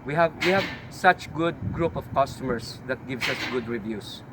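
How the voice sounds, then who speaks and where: A man's voice: mid-range voice, expressive. Filipino-accented man touring his auto shop. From Philippines. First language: a Philippine language. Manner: halting, many fillers and restarts. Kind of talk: casual shop tour vlog. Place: outdoor auto shop lot. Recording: outdoor street and traffic noise.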